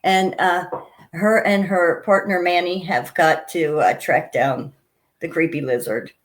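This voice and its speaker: A woman hosting an interview show, gushing and excitable, laughing often, her voice lower and rougher than usual from a cold. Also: unhurried. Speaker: show host. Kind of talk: casual interview chat.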